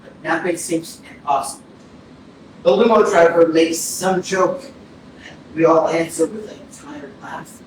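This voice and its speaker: A man's voice: fairly high pitch, a little slow, lightly acted. A man performing poetic narration in a staged reading. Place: indoor arts center stage, recorded from afar. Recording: distant, very echoey, clear noise.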